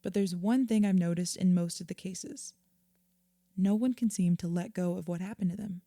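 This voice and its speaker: Audio drama: actress as earnest female therapist, mellow tone, measured and reassuring, very dry studio-close sound. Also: fairly low pitch, no room sound, natural voice. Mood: earnest, explanatory. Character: patient, earnest female therapist counselling a client. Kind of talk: naturalistic audio drama acting.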